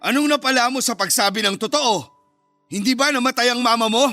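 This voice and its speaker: A man's voice: extremely high pitch, fairly quick, pushed and bright. An actor playing a cold, resentful middle-aged father in a Filipino radio drama, speaking in a curt, scornful, accusing way. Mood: furious, accusing. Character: cold, resentful, harsh father of a grown son. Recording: music bed behind the voice.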